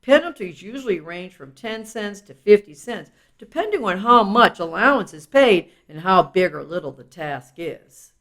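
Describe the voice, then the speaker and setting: A woman's voice: medium pitch, expressive, normal speed, hardly any pauses, fairly bright tone. A woman reading a children's self-help book aloud in an instructive, explanatory way, with casual asides.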